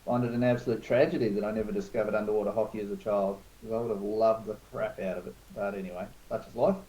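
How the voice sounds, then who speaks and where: Laid-back, mellow-voiced man in his forties. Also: fairly low pitch, steady tone, fast talker, low-quality recording. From New Zealand. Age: 40s. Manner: laid-back storytelling, frank and self-mocking. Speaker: guest, club organizer. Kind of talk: casual podcast chat.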